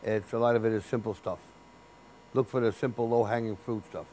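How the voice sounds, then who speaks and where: A man in his fifties, a building's chief engineer, explaining his work in a sit-down interview in a basement boiler room, practical and unhurried. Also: lowish voice, fairly even intonation, darkish tone, slight background noise, rough recording. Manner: plain-spoken, practical, unhurried with restarts. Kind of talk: interview for a training video.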